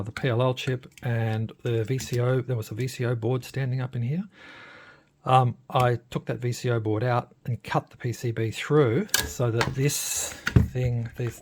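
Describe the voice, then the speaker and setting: A man giving a casual hands-on demonstration as a radio hobbyist, often hesitating and pausing mid-sentence in a creaky voice. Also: medium pitch, a little mellow, a little hiss or hum.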